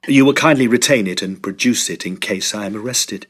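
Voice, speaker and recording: A man playing a determined, agitated young gentleman in a Victorian horror drama, speaking earnestly and emphatically in formal phrases. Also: fairly low pitch, a little fast. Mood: grave, resolute.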